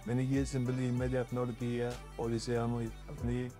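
A man talking through software steps in an unscripted tutorial, in a plain explaining way. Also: medium pitch, monotone, unhurried, faint music behind.

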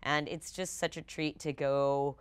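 A woman in her thirties, an expressive guest chatting casually on a talk show. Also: sits low, measured pace, smooth. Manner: animated, expressive. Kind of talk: casual chat. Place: indoor studio set.

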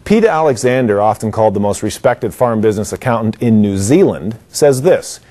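A man's voice: on the low side, animated, a little fast, mellow. A man reading the program's sponsor credits and introduction in a scripted announcer style. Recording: music bed under voice.

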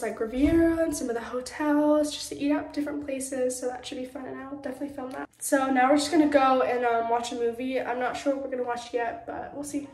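High-voiced young American woman vlogging. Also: a little mellow, reverberant. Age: teens to young adult. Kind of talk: casual vlog chat to camera. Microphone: phone at arm's length.

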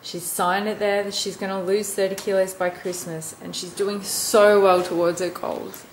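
A woman's voice: mid-range voice, flat delivery, normal speed. A young Australian woman talking casually to the camera in a vlog. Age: young adult. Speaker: vlogger. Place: outdoors on a farm. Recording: noisy outdoor ambience with birds.

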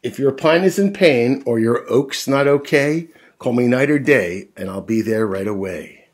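A man voicing an upbeat, helpful male tree doctor in brisk, cheerful rhyming patter. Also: medium pitch, expressive.

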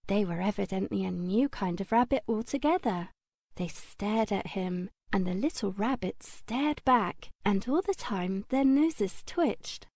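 A woman narrating a children's audiobook in a gentle, measured storytelling manner. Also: medium pitch, lively intonation, dry recording.

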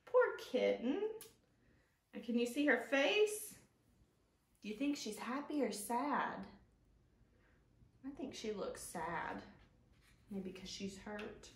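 A woman presents a children's storytime in an expressive, child-directed way. Her voice sits a little high and swings widely in pitch, with key words drawn out. The sound is roomy, picked up by a camera a few feet away in a living room. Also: slow, long pauses. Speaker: children's librarian, storytime host. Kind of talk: storytime presentation and picture-book read-aloud for young children. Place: living room at home, indoors.

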